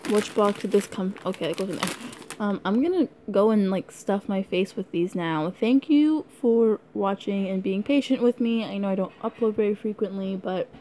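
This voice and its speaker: A young woman from Canada chatting casually through an unboxing video, rambling and giggly, her voice hoarse from being sick. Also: medium pitch, moderate pace, dark tone, a little hiss or hum. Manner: rambling, giggly, lots of likes and ums. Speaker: vlogger.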